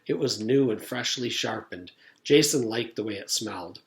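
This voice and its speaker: A man's voice: on the low side, normal speed. A man narrating a children's story in a read-aloud style, as a teacher would for pupils.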